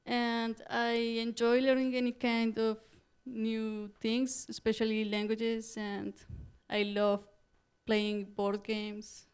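A woman from Colombia introducing herself carefully and deliberately. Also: on the high side, little pitch movement, smooth. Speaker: new graduate student.

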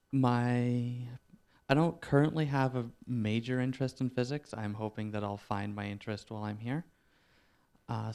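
A man from Canada introducing himself, measured and slightly hesitant. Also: lowish voice, darkish tone, smooth. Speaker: new graduate student.

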